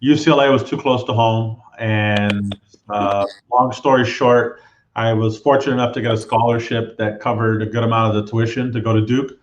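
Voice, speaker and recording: A man from Southern California, a guest telling his background in a relaxed, roundabout way. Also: on the low side, normal speed, echoey room, lo-fi sound, a little hiss or hum. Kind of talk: casual interview storytelling.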